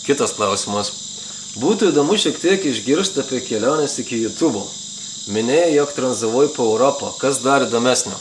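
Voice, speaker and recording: A man's voice: medium pitch, a little fast, background noise. Lithuanian-accented man, crickets chirping behind. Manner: relaxed, conversational, frequent "you know". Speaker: travel vlogger. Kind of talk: casual answers to viewer questions. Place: under a roof outdoors at night in the rainforest. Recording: outdoor night with loud insects. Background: crickets and insects.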